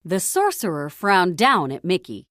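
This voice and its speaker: A woman reads a children's story aloud as its narrator. Her delivery is lively and melodic, moving at a measured, slightly slow pace. She leans on key phrases for dramatic emphasis, and the sound is dry with no room echo. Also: on the low side.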